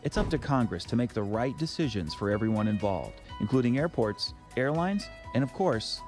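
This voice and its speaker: A man's voice: medium pitch, very animated, normal speed. A man narrating a scripted news explainer. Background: music.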